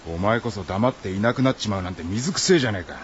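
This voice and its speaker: An actor playing a gruff, rough-tongued, self-sacrificing adventurer in a radio drama, with coarse and blunt speech. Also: male voice, fairly low pitch, fast talker, a little hiss or hum, lightly acted. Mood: gruff resolve. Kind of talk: Japanese radio drama acting. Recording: dull band-limited audio under loud music.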